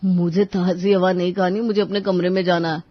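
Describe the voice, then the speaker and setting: A woman's voice: on the low side, level tone, fairly quick, dry recording, muffled recording, lightly acted. A woman voicing grumbling young males of a family in a cartoon story, with a complaining manner.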